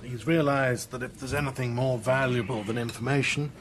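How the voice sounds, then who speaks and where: An actor playing a condescending British intelligence chief in a radio drama, urbane, clipped and patronising. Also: male voice, mid-range voice, steady tone, a little fast, background noise, close to an everyday voice. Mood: brisk, authoritative. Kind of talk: broadcast radio drama acting.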